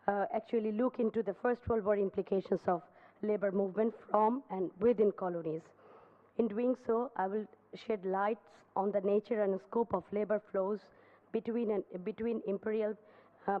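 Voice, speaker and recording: Conference speaker: woman, hoarse, formal and halting, narrow phone-like sound, mid pitch. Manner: formal, careful, with frequent hesitations. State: hoarse, losing her voice. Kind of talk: academic paper presentation. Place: university lecture hall.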